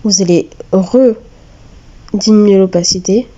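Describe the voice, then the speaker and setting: A woman with a cold giving a step-by-step drawing tutorial, talking hesitantly with many filler pauses. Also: mid-range voice, warm tone, muffled recording. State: has a cold. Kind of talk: screen-recorded step-by-step tutorial.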